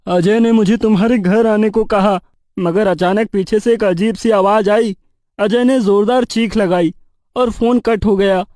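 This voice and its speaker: Male actor as worried young friend, high register, horror animation, dry close sound. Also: steady tone, measured pace, darkish tone, dry recording. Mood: troubled. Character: troubled young male friend recounting eerie events. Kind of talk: animated horror story character dialogue.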